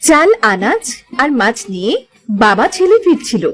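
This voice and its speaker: High-pitched woman narrating a story. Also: sing-song, moderate pace, fairly bright tone, soft background music.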